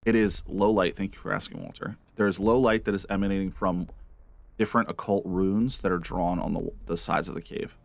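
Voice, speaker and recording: Low-voiced man running a tabletop game. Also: fairly even intonation, a little fast, creaky, no echo. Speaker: game master. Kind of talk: improvised tabletop game narration, casual.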